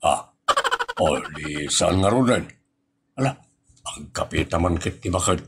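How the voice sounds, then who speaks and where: A man with an Ilocano accent playing roles in a comedy audio drama, theatrical, swinging from bright announcing to low grumbling. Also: expressive, a little slow, slightly rough. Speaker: narrator and voice actor.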